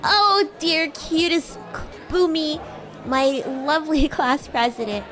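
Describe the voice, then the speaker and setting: A woman's voice: high-pitched, takes it slowly, fairly bright tone, faint music behind, a faint crowd. A woman voicing a bratty, flustered young female demon classmate in improvised livestream roleplay, teasing and stammering as she acts haughty and defensive. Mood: bratty, demanding. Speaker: VTuber streamer.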